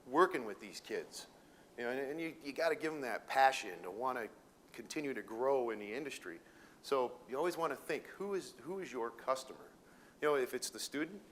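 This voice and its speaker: A man's voice: medium pitch, fairly bright tone, a little hiss or hum. Folksy rambling man addressing an audience. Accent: Minnesota. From Detroit, Michigan. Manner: folksy, rambling, many fillers and restarts. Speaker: welding sales manager presenting to educators. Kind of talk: informal presentation talk. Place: room with an audience.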